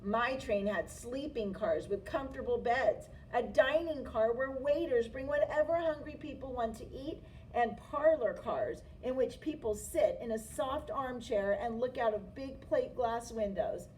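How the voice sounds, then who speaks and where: A woman's voice: on the high side, unhurried, few pauses, darkish tone, close to an everyday voice. A woman voicing a haughty male passenger engine in a children's storybook, pompous and dismissive. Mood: haughty, scornful. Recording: distant mic, faint noise.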